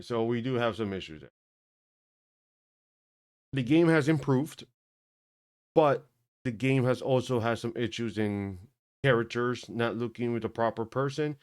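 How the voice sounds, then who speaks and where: A man hosting a tech video, chatting casually and thinking aloud as he tests a game, often halting and correcting himself. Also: mid-range voice, smooth. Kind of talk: casual commentary while testing a game.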